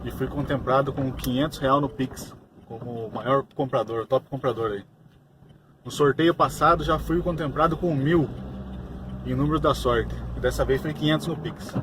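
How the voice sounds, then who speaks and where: Man from São Paulo state, winner's thanks, clear outdoor vehicle noise behind. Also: medium pitch, moderate pace. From São Paulo state, Brazil. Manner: plain thankful testimonial. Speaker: raffle winner.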